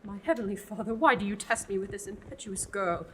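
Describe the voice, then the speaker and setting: A teenage actor playing an exasperated, theatrical abbess eager to be rid of a pesky oblate. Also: female voice, medium pitch, steady tone, fairly quick, a bit bright, a little hiss or hum, lightly acted. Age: teens. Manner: sighing and theatrical. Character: exasperated, fussy middle-aged abbess nun. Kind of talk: student radio play.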